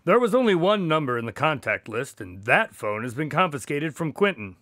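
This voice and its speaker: Male sidekick doctor, skeptical, polite formal phrasing, highish voice, animated pitch, radio drama. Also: fairly quick, no echo, studio quality. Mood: skeptical, reluctant. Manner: polite, formal, dryly doubting. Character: skeptical, proper male doctor, detective's long-suffering sidekick.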